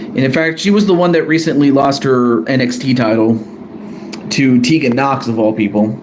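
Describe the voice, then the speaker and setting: A man's voice: medium pitch, moderate pace, slight background noise, rough recording. A man casually drawing cards on a live stream, hesitant and apologetic, often correcting himself. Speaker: streamer drawing cards for a fan wrestling tournament.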